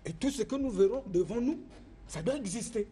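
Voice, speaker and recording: High-voiced man, earnest. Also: expressive, a little fast, dark tone, husky. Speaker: traditional spiritual master. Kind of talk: speaking in a discussion.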